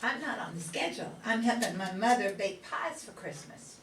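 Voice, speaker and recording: A woman's voice: mid-range voice, animated, normal speed, slight background noise, lightly acted. A woman playing a hearty, sociable wartime factory worker in a 1940s-set radio play, with a folksy, jokey manner. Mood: matter-of-fact. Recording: echoey room, distant mic, music behind.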